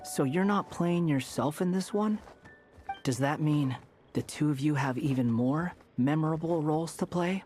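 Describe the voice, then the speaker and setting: A man's voice: fairly high pitch, moderate pace, a little mellow, faint music behind, close to an everyday voice. A man voicing an aloof young wanderer in a video game, dry, curt and sardonic. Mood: wry. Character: aloof, sardonic young male wanderer turned storybook stray cat.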